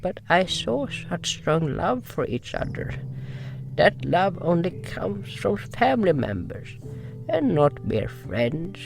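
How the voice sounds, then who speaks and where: A man playing a gentle, wise nonhuman healer in a fantasy audio drama, speaking halting broken English with dropped articles. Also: high-pitched, very animated, creaky, soft background music, exaggerated voice, low-quality recording. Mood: gently consoling. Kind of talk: first-person fantasy audio drama narration and dialogue.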